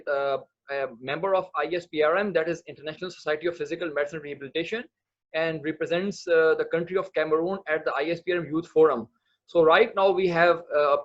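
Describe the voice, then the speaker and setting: A fairly high-voiced man from Pakistan, speaking English as a second language, leads an online lecture. He explains earnestly and pauses often on fillers. The audio through his computer mic sounds slightly dull. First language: not English. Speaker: medical writing instructor.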